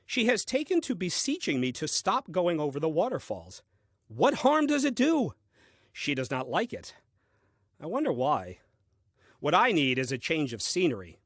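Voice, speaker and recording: A man's voice: fairly high pitch, wildly swooping pitch, moderate pace, a bit bright, no echo, heavily acted. Grumpy put-upon first man doing diary entries, comic audio play, voice swings up and down, super dry sound. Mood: exasperated, dry.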